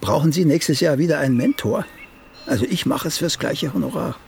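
An actor playing a vain, sardonic old playwright in a radio drama, theatrical and wry, his gravelly voice turning breathy at phrase ends. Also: a little high, a little mellow, slight background noise. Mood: wry, dry. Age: older. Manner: slow, theatrical, drifting into wry chuckles.

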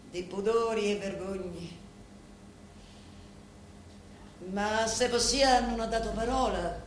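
An actress playing a proud, determined young Sicilian woman in a radio play, with a Sicilian accent and deliberate, dramatic delivery full of weighty pauses. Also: mid-range voice, close to an everyday voice. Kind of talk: theatrical monologue in a radio play. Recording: very echoey room, faint background noise.

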